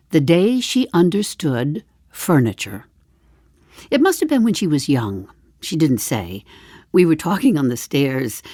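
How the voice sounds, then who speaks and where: An older actress playing a wry, chatty seventy-five-year-old woman in a darkly comic stage monologue, musing to the listener with deliberate pauses. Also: low-pitched, a little mellow, no room sound, studio quality, full character voice. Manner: deliberate, dramatic pauses, dropping to confiding asides. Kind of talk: theatrical monologue, storytelling to the listener.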